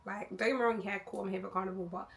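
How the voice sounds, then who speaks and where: A young woman vlogger, hoarse from losing her voice, chatting to camera in a rambling, candid way. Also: mid-range voice, fairly even intonation, rapid-fire. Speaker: travel vlogger. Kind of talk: casual chat to camera.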